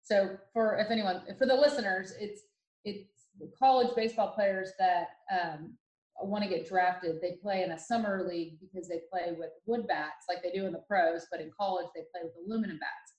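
Chatty woman from ohio, kinda low voice, roomy echo, lots of ums and restarts. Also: moderate pace. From Ohio, USA. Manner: chatty and animated, many fillers and restarts. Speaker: podcast guest. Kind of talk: casual storytelling interview.

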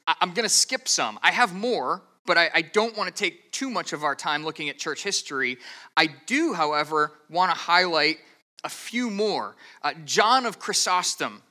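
A man's voice: a little high, animated, moderate pace, sharp tone. A man preaching an expository sermon as a pastor, explaining step by step in an earnest, methodical way, with the odd stammered restart. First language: English.

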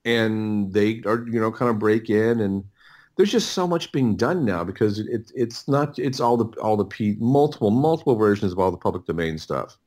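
A middle-aged man talking casually as an interview guest, in a halting, rambling way with many repeated words and restarts. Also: on the low side, animated, darkish tone. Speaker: interview guest, audiobook producer.

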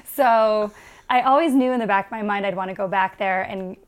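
A woman's voice: medium pitch, a little fast. A young adult woman from the United States, a stand-up comedian guest chatting casually and laughing often at herself in an interview.

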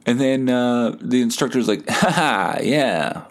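A man hosting a podcast, telling stories in a casual, comedic, animated way with some swearing. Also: mid-range voice, slow pace. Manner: animated, acts out quoted dialogue; profane. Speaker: podcast host.

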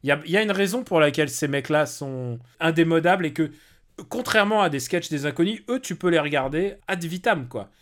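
A man's voice: on the high side, animated, brisk, fairly bright tone. A man speaking as a podcast guest and comedy film critic, giving opinionated views in long, rambling stretches of casual talk.

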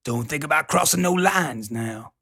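A man voicing a crusty, folksy old man with a raspy voice. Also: on the high side, expressive, normal speed, sharp tone, pitched above the performer's own voice, full character voice. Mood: stern, warning.

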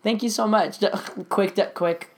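A man with a high-pitched, shrill voice co-hosting a casual comedy podcast, joking and laughing a lot. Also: fairly even intonation, slow pace. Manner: jokey, laughs often, riffs on bits. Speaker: podcast co-host.

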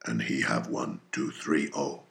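A man's voice: rumbling low, fairly even intonation, a little slow, gravelly, a bit bright, voice pushed down, exaggerated voice. A man voicing an earnest foreign harpooneer in an audiobook, speaking brokenly and faltering for words. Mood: groping, excited.

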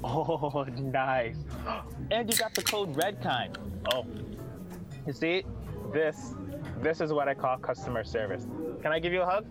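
A man's voice: on the high side, lively intonation, a little slow, pauses often, over music. An actor playing a clueless, over-eager young man on a dinner date in a comedy radio play, blurting odd remarks. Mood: excited.

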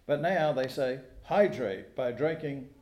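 An American man preaching a sermon in a folksy, conversational way, pausing deliberately between phrases. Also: medium pitch, a little mellow. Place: church sanctuary during a service. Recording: echoey room sound.

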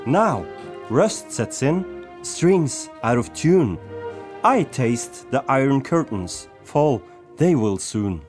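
A man's voice: mid-range voice, sing-song, takes it slowly, a little mellow, soft background music. A man playing a melodramatic band member and self-styled poet in an amateur radio play, stumbling over his script. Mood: mournful.

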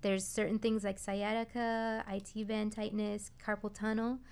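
A woman's voice: mid-range voice, level tone, normal speed, clean tone. A woman speaking as an expert guest on a talk show, giving informal, encouraging and emphatic advice. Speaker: expert guest, prenatal massage therapist.

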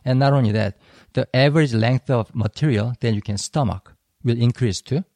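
A man whose first language is Korean, co-hosting a podcast and explaining ideas in a thoughtful, slightly halting way. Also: medium pitch, a little mellow, no room sound. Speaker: podcast co-host. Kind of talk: casual educational discussion.

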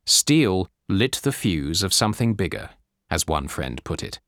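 A man reading a scripted nonfiction audiobook as narrator, with measured, deliberate phrasing. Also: sits low, big pitch swings, fairly bright tone, dry recording, clean studio sound.

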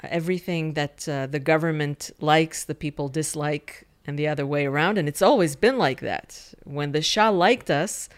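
A woman's voice: deep voice, normal speed, hardly any pauses, clean tone. A woman guest expert on Iran, answering interview questions in an explanatory, correcting way with frequent restarts.

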